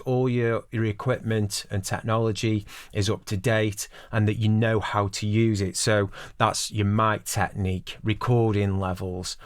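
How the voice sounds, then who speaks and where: A man's voice: on the low side, clean tone, no room sound. A man in his forties giving instructional advice as a voice-over producer, explaining things directly to the listener. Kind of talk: instructional talk to camera.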